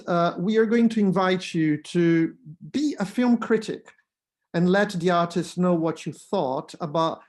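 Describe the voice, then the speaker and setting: A man whose native language is Brazilian Portuguese, introducing an online arts event as its producer and host, talking in an earnest, welcoming way. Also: a little high.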